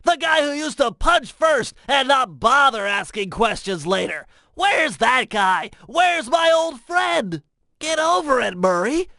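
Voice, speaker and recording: A man's voice: extremely high pitch, big pitch swings, measured pace, vocal fry, sharp tone, no room sound, clean studio sound, heavily acted. A man voicing a brainy, fussy turtle mission planner in a video game, nasal and precise, explaining things in wordy technical terms. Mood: pleading, exasperated. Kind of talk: video game tutorial voice acting.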